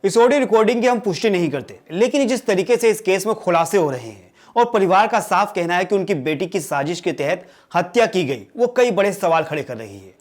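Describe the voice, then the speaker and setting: Woman, news presenter, scripted narration, medium pitch. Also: animated, fairly quick, darkish tone.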